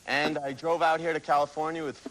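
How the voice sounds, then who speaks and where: A man's voice: on the high side, little pitch movement, fairly quick, fairly bright tone, slight background noise, poor audio. A twenty-year-old man from Rhode Island giving casual, unscripted answers as a game show contestant. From Providence, Rhode Island, United States.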